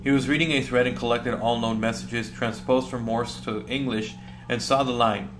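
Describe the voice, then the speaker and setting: A man's voice: medium pitch, fairly even intonation, normal speed. Male narrator over background music. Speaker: gaming video narrator. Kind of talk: scripted creepypasta narration.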